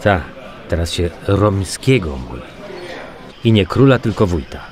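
An actor playing a brusque, weary homicide detective in a naturalistic radio crime drama, colloquial and prone to swearing. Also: male voice, sits low, big pitch swings, unhurried, a little mellow. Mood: brusque, businesslike.

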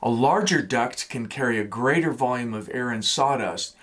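A man in his fifties, a woodworking instructor, giving a steady scripted explanation in a small home workshop. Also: medium pitch, moderate pace. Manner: steady, explanatory, gestures while talking.

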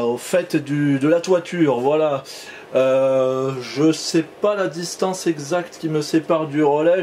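A man's voice: on the high side, darkish tone, a little hiss or hum. A man giving a casual explanatory demonstration as an amateur radio operator, hesitating and repeating words as he goes.

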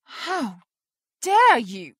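A woman's voice: sits high, huge pitch swings, extremely slow, dry recording, studio quality, heavily acted. A woman acting a cool, haughty animal expert with assertive, clipped delivery in an audio drama. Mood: indignant.

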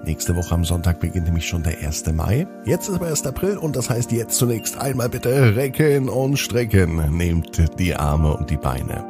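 A man's voice: low voice, expressive, normal speed, hardly any pauses, music in the background. A man hosting a children's podcast and narrating a scripted bedtime story, speaking expressively and directly to young children.

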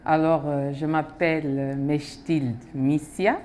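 Female guest, 30s, native German speaker, very low voice, slow hesitant answers. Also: flat delivery, a little hiss or hum. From Germany and Switzerland. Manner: soft-spoken, hesitant, brief answers. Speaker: foundation president, guest. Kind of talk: studio interview answers. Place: TV studio set.

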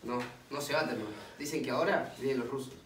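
A man's voice: medium pitch, fast, a bit bright, lightly acted. A man with an Argentine accent playing a curious young conscript newly arrived at a war dugout, in stage play dialogue. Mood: plain, inquisitive. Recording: echoey distant room, faint noise.

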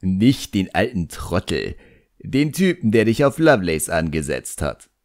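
Man voicing a cocky, sarcastic djinn, swooping pitch. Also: very animated, a little slow, darkish tone, exaggerated voice. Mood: taunting. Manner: mocking, talkative, smooth-talking. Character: cocky, sarcastic, chatty ancient djinn, teasing and flattering.

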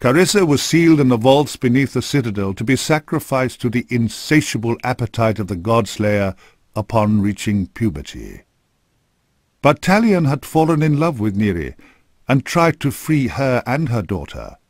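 A man's voice: mid-range voice, big pitch swings, moderate pace, no room sound. A man narrating a fantasy game from a script in a solemn, formal storytelling manner, close on a studio microphone.

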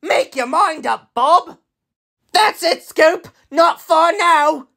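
A man's voice: very high-pitched, takes it slowly, vocal fry, bright, forceful tone, full character voice. A man voicing an eager, cheerful digger from a children's cartoon.

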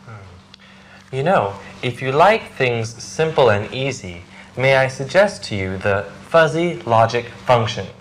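Slow, lively-voiced young man. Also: medium pitch, a little hiss or hum. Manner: clear, deliberate delivery. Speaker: actor in English lesson dialogues. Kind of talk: slow scripted classroom dialogue.

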